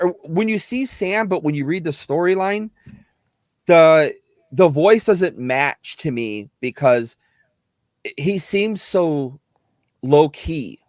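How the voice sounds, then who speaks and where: A man's voice: fairly high pitch, dry recording. Middle aged guy, kinda whiny voice, rambly casual chat, dry sound. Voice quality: slightly whiny voice. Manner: animated, rambling, many restarts. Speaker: actor and guest. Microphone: close mic.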